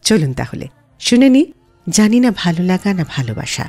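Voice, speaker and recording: A woman's voice: lowish voice, sing-song, normal speed, a little mellow, breathy edge. A woman with an Indian Bengali accent presenting a scripted film music radio show as its host.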